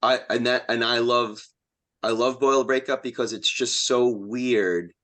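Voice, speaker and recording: A man's voice: medium pitch, steady tone, a little slow, a bit bright. A man speaking as a playwright guest in a casual conversation, with a self-deprecating way of talking. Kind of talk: casual conversation about writing plays.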